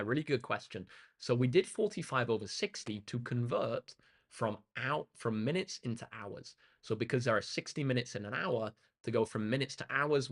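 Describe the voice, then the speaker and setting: A young man with a slightly croaky voice, tutoring maths on a live stream in an upbeat, chatty way, reading out comments from chat. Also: mid-range voice, fairly bright tone. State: slightly hoarse. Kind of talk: live-streamed exam walkthrough.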